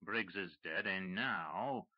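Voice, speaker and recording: A man voicing a cold, ruthless male smuggler boss who speaks in a low, clipped, contemptuous way. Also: takes it slowly, lo-fi sound. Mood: cold menace.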